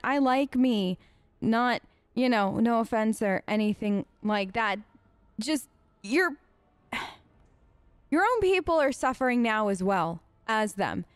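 A woman's voice: sits high, clean tone, no echo. A woman hosting a role-play let's play, talking casually and informally as she improvises in character.

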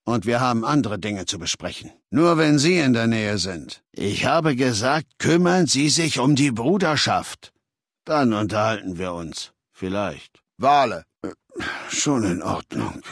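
An actor playing a commanding, arrogant warlord in a video game, speaking in a measured, authoritative and condescending way. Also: male voice, mid-range voice, lively intonation, no echo, clean studio sound. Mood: stern, impatient, then weary. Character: commanding, arrogant male warlord, cold and imperious, ailing. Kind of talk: acted video game dialogue.

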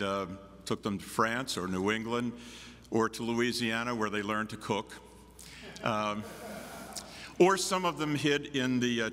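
An older man with a North American accent delivering a formal lecture in a measured, deliberate way. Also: on the low side, pushed and bright. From United States, Washington DC. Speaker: visiting academic speaker. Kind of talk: formal lecture read from manuscript. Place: large church chapel, at lectern. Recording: echoey large chapel, long reverb.

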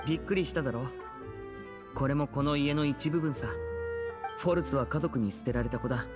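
Casting: male actor for composed young game magician, soft-spoken older-brother type; reverberant, faint music behind. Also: mid-range voice, fairly quick, close to an everyday voice. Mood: calm, explanatory. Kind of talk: Japanese anime game acting.

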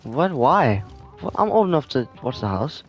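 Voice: medium pitch, big pitch swings, normal speed, faint music behind. A performer playing a sulky, incredulous sixteen-year-old in an amateur video game roleplay, in a casual, reluctant way.

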